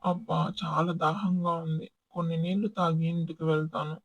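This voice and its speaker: A woman voicing a tiny, hardworking, loyal ant in a children's animated folktale, with a husky, earnest voice. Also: low voice, normal speed, no room sound, dull audio, pitched below the performer's own voice. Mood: busy, weary.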